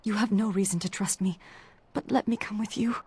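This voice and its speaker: Husky, intense, bitter elven woman. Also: medium pitch, steady tone, fairly quick, a little mellow. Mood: shaken, bitter. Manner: defiant, intense. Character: fierce, bitter, vengeful young female elf. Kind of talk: video game character acting.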